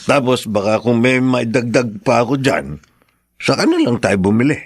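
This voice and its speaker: A man's voice: mid-range voice, big pitch swings, normal speed, breathy edge, no room sound, clean studio sound. An actor playing a middle-aged working-class father in a radio drama, hot-headed yet doting, with a pleading, blustering manner. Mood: remorseful, tender.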